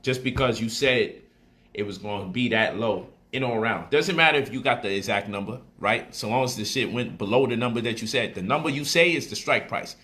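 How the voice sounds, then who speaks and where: A man talking through stock options in a loose, slangy livestream style, and his voice comes across bright and forceful. He repeats lines to drive a point home and gets lively and playful as he goes on. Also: mid-range voice, brisk. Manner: animated, slangy, repeats phrases for emphasis. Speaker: speaker explaining stock options to viewers. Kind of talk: casual livestream talk.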